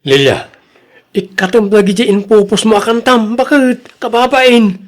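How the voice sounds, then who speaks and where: An actor with an Ilocano accent playing an embarrassed, scolding husband, blunt and reproachful, in radio drama style. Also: male voice, sits high, measured pace. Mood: exasperated, scolding.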